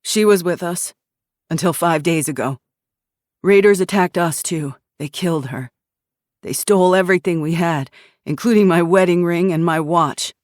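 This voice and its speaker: A woman's voice: fairly low pitch, moderate pace, no room sound, close to an everyday voice. Bill is a grave, grieving mechanic and widowed father, worn down by loss and flight. A woman narrator acts him out in a voice close to her own, only slightly lifted. The sound is dry.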